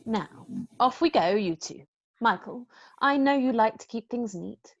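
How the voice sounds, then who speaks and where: A woman playing a brisk, high-voiced magical nanny. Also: lively intonation, muffled recording. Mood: brisk, firm. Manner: crisp, commanding, matter-of-fact. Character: brisk, unflappable, firm yet playful magical English nanny. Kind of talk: amateur musical play read-through.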